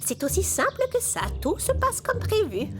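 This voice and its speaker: A woman's voice: extremely high pitch, sing-song, moderate pace, over music, voice pushed up. A woman voicing a gloating evil witch queen in a cartoon fairy tale, theatrical and scheming. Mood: sly, gloating.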